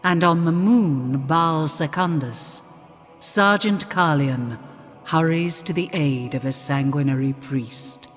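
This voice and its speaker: A male narrator giving a slow, deliberate scripted story intro. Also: a little high. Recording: long reverb with music.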